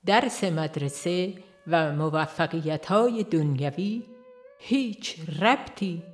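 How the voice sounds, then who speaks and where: A narrator reading a religious audiobook in a formal, measured and solemn manner. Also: mid-range voice, soft background music. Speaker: audiobook reader.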